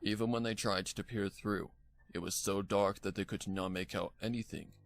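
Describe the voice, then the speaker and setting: A man's voice: fairly low pitch, fairly even intonation, moderate pace, faint music behind. A man reading a scripted story aloud as its narrator. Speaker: fan fiction narrator.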